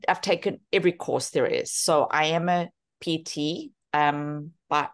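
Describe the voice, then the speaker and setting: A woman's voice: sits low, a little slow. A woman in her fifties with a South African accent, speaking earnestly as a therapist introducing her work in a webinar. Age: 50s. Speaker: physiotherapist and therapy program co-founder. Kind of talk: webinar talk, self-introduction.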